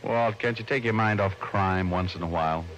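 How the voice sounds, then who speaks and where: Low-voiced wisecracking radio private eye. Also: male voice, moderate pace, a bit bright. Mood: teasing. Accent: American. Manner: glib, teasing, wry. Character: wisecracking flirtatious male private detective, breezy and teasing. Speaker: private detective and narrator. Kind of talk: 1940s-50s American radio detective acting. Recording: noisy old-time radio recording.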